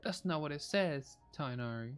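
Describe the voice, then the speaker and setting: A man's voice: on the high side, lively intonation, moderate pace, fairly bright tone, clean tone, soft background music. A man streaming a game, making short casual reactions as he plays.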